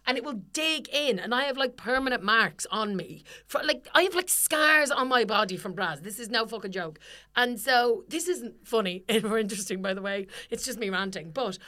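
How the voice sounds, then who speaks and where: A young woman, co-hosting a casual comedy podcast and ranting animatedly with lots of swearing, her voice slightly husky. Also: on the high side, expressive, normal speed, sharp tone. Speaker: podcast co-host.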